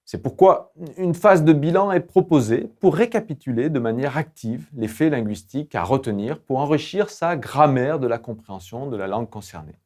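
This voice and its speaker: A man reads a scripted instructional narration as a lecturer, in a formal, didactic and measured academic way. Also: medium pitch, animated, darkish tone. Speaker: lecturer presenting language-learning tools.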